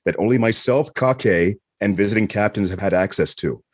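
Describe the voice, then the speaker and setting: A man acting a calm, benevolent space admiral with a husky voice and a reassuring manner in an audio drama. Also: lowish voice, natural voice. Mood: reassuring, congratulatory. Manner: measured, reassuring.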